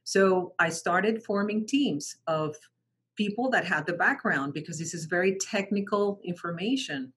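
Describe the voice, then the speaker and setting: Interview guest: woman from Mexico, native Spanish speaker, low and breathy, halting self-corrections. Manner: frequent restarts and repeated words, animated quoting of others. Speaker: guest, translation company founder. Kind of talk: conversational interview storytelling.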